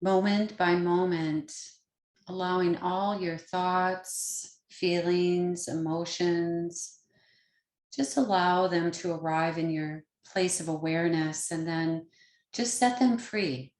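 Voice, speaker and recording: Slow, low-voiced woman guiding meditation. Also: fairly even intonation. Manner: gentle, unhurried, pausing between short phrases. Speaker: yoga nidra meditation teacher. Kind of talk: guided meditation. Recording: roomy, echoing sound.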